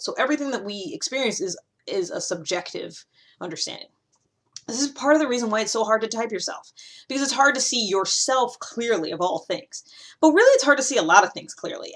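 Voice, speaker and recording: A woman hosting a video series, talking casually and explaining ideas in an animated, conversational way with emphatic asides. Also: medium pitch. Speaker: host of a personality-typing video series. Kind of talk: casual explanatory monologue to camera.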